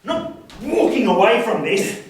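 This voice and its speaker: A man acting in a stage drama as a feuding adult brother, with heated, halting, theatrical outbursts. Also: sits high, sing-song. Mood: bitter, anguished. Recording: echoey room, distant mic, hiss.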